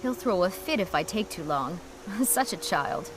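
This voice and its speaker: A woman's voice: lowish voice, lively intonation, unhurried, noisy background. A woman playing a spirited, teasing young woman in a video game, pert and conversational. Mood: chatty. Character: spirited young shopkeeper's sister, headstrong and teasing. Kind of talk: video game character acting.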